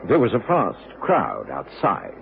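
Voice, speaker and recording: An actor playing a loyal middle-aged British gentleman doctor, telling the story earnestly and formally in old radio drama style. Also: low-pitched, wildly swooping pitch, slow, gravelly, full character voice. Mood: eager, puzzled. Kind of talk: 1950s-60s radio drama acting and narration. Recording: muffled, noisy old radio recording.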